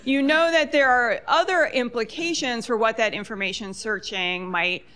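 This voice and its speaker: A woman's voice: a little high, moderate pace, pushed and bright, clear, resonant tone. A woman political science professor speaking on a panel, articulate and explanatory. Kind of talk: academic panel talk. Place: lecture hall with online audience.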